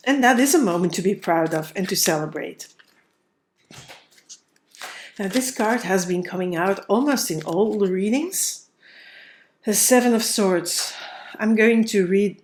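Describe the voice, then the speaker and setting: A woman's voice: mid-range voice, lively intonation, unhurried, darkish tone, a little hiss or hum. A woman with a cold and a slightly nasal voice, thinking aloud as she gives a tarot card reading, with many fillers and restarts. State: stuffy nose from a cold. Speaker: tarot reader.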